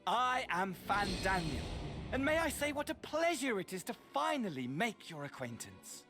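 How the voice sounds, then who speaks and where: A man's voice: high-pitched, big pitch swings, moderate pace, pushed and bright, heavily acted. An actor playing a gleefully nihilistic villain mastermind in a video game, mocking and grandiose like a mad showman. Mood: mock delight. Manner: grandiose showman, swinging from mocking courtesy to mania. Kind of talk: theatrical video game voice acting. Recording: game audio with music behind.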